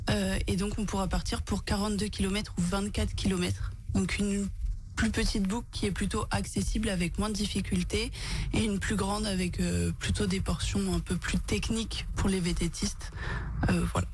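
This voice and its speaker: A woman's voice: mid-range voice, little pitch movement, normal speed, a little mellow, a little hiss or hum. A woman with a French accent giving informative, slightly hesitant unscripted answers as an interview guest. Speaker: tourist office event organiser, interview guest.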